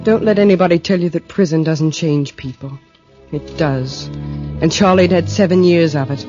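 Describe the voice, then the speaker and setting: A woman's voice: low-pitched, moderate pace, natural voice. An actress playing a cool, guarded married woman with a criminal past in an American old-time radio drama, speaking in a clipped, sardonic, world-weary way. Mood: reflective. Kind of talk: 1940s radio drama acting. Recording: dull old-time radio recording with music.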